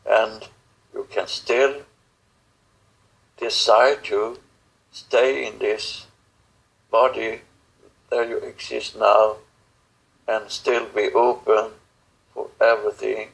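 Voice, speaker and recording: Very slow, gravelly man, halting delivery. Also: lowish voice, level tone, a bit bright, poor audio. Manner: halting, solemn, broken phrases with long pauses. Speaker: channeler speaking as star beings in a guided session. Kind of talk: channeled spiritual message.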